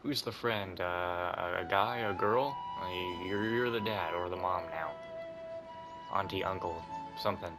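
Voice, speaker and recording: Low voice guy streaming a game, rambly and offhand, loud music behind him. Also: unhurried. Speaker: gaming streamer. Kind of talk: casual playthrough commentary.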